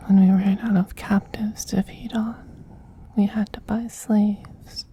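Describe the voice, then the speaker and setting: A man voicing a tender, possessive male vampire queen in a soft-spoken ASMR roleplay, in a slow, breathy, soothing whisper with gentle dominance. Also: high voice, heavily acted, low-quality recording. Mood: wistful, regretful.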